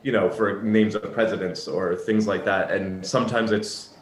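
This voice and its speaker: A man's voice: fairly low pitch, steady tone, normal speed, a little hiss or hum. A man who works as a sign language interpreter, answering interview questions in a thoughtful, reflective way with frequent restarts. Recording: echoey room.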